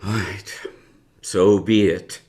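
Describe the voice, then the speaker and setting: An actor playing a haunted older uncle who narrates a grim story in a hesitant, deliberate, dramatic way for a radio drama. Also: male voice, on the low side, steady tone, a little mellow. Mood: troubled, hesitant. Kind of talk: live radio drama acting.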